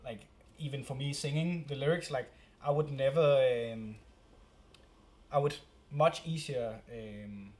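A man's voice: mid-range voice, measured pace, a little mellow. A man with a Danish accent, the band's singer, chatting casually in English and sometimes searching for words. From Denmark. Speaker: band member, singer.

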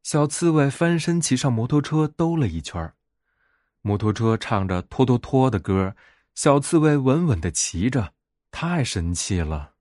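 A man narrating a bedtime fairy tale as a storyteller, in an expressive scripted read. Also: medium pitch, measured pace, dry recording, clean studio sound.

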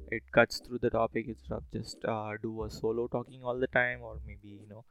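Rambling man with a creaky voice. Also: fairly low pitch, little pitch movement, fairly quick, fairly bright tone. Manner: rambling, hesitant, informal. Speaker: podcast host. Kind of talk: casual solo podcast talk.

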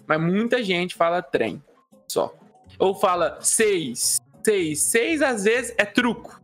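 A man with a Minas Gerais accent jokes his way through a casual podcast chat. His voice sits high and swings widely in pitch, with a bright, forceful edge, and faint music plays underneath. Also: slow pace. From Minas Gerais, Brazil. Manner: joking, animated, acts out quoted voices. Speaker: guest comedian and streamer.

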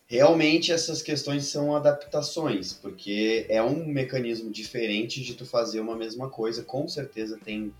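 A man co-hosting a podcast, speaking in a relaxed, conversational way with hesitant fillers. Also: mid-range voice. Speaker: podcast co-host. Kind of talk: casual chat. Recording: roomy echoey room.

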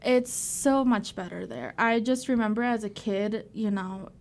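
A woman's voice: fairly high pitch, a little slow, darkish tone. A young woman in her twenties from El Salvador, a podcast guest telling long personal stories in a chatty way. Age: 20s. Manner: chatty storyteller with many fillers. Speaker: guest, community organization worker. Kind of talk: conversational interview.

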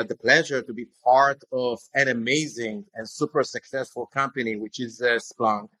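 A man's voice: medium pitch, pushed and bright, no room sound, low-quality recording. A man in his forties from Israel, a tech executive answering interview questions with frequent fillers and restarts. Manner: hesitant, many fillers and restarts. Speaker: guest, tech company executive.

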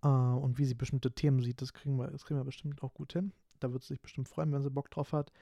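A man's voice: mid-range voice, little pitch movement, quick pace, gravelly, mellow, dry recording, clean studio sound. A man in his twenties from Berlin hosting a solo podcast, talking casually and rambling with lots of fillers and restarts. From Berlin, Germany. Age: 20s. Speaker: podcast host.

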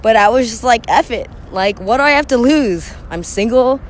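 A woman's voice: on the high side, lively intonation, normal speed. Young woman with a scratchy sick voice. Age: young adult. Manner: chatty and candid, frequent laughs and throat clearing. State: scratchy voice from a cold. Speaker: podcast host. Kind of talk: casual solo podcast monologue.

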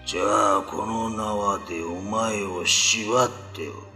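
Menacing male demon, low gravelly growl, bright forceful edge, suspicious, radio drama. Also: fairly even intonation, slow, exaggerated voice. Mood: brooding, threatening. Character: menacing man-eating demon, long-lost older brother. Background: background music.

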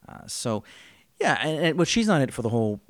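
A man's voice: medium pitch, big pitch swings, quick pace, no echo. A man co-hosting a podcast, chatting casually about a miniseries, rambling and joking with frequent laughs. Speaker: podcast co-host. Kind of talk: casual chat reviewing a miniseries.

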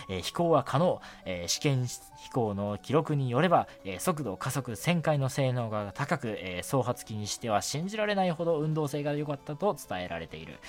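Guy hosting a radio segment, really up and down delivery, trips over words, music behind him. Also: mid-range voice. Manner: filler-heavy, stumbling over words, self-correcting. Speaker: radio show host. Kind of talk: solo radio show segment, reading listener mail and chatting. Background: background music.

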